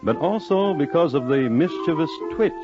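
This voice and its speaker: A man narrating an old radio crime drama in a scripted, deadpan, droll manner. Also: a little high, moderate pace, close to an everyday voice. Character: droll storyteller of historic crimes. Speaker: host narrator. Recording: dull old radio recording, music behind.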